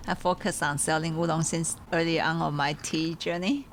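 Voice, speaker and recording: A woman's voice: sits low, steady tone, moderate pace, slight background noise. A woman with a Taiwanese accent chatting casually and reflectively as a podcast host, searching for her words. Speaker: podcast host and tea seller.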